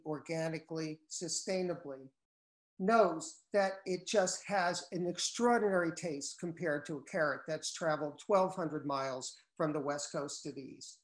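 An older man, a cookbook co-author, reading a prepared talk in measured tones over a video call from home. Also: fairly high pitch. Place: wood-paneled room at home. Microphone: laptop video call. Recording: echoey room on a video call.

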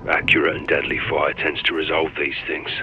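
An actor playing a stoic, dry-witted special forces lieutenant in a video game, speaking tersely and deadpan over military radio. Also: male voice, extremely low pitch, fairly quick, dull audio. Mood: terse, calm.

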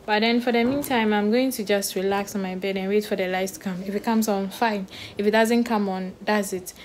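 A young woman with a Ghanaian accent, chatting casually to camera in her room, relaxed and rambling. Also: medium pitch, a little fast. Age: young adult. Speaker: vlogger. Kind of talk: casual vlog chat. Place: small bedroom indoors. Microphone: phone held at arm's length. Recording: phone audio with clear background noise.

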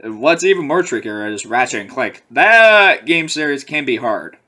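A man's voice: medium pitch, very animated, normal speed, bright, forceful tone. A young man talking casually in a webcam update from a small room, a bit lightheaded and rambling with fillers and giggles. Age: young adult. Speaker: vlogger. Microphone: webcam at close range, headset round neck.

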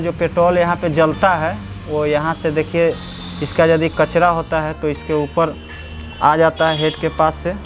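Male mechanic with a high voice narrating a workshop tutorial, music clearly audible behind him. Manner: explanatory, guides the viewer step by step. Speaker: motorcycle mechanic. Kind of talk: workshop repair tutorial, narrating over close-up work. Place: motorcycle workshop.

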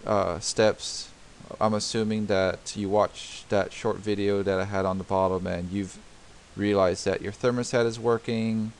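Gravelly man explaining step by step. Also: fairly low pitch, slight background noise. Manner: explanatory, many fillers and restarts. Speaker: DIY home repair tutor. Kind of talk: unscripted screen-recorded tutorial narration. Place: voice-over on a diagram video.

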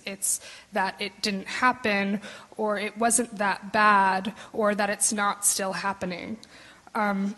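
A young woman at a podium in a big concert hall talks through her ideas, hesitant and full of ums. Her pitch stays flat and level. Long hall reverb hangs behind her voice. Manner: tentative, many ums, searching phrasing. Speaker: panel discussant. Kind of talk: thoughtful talk from notes at a podium. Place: large concert hall stage. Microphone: podium microphone.

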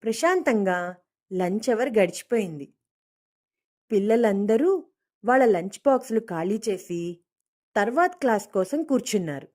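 A woman's voice: mid-range voice, expressive, unhurried, long pauses, darkish tone. A woman storyteller reading a scripted moral story for children, acting out dialogue as she narrates.

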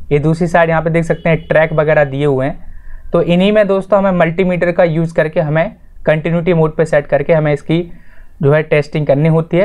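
A man's voice: a little high, a little mellow. A man hosting an informal explanatory voice-over lesson, addressing viewers directly in an instructional way and restating his points. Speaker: tutorial host.

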